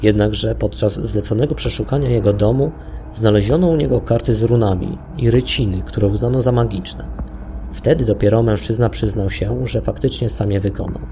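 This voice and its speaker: A man narrating a scripted historical audio tale in a measured, literary storytelling manner. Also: lowish voice, warm tone. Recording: narration over background music. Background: music.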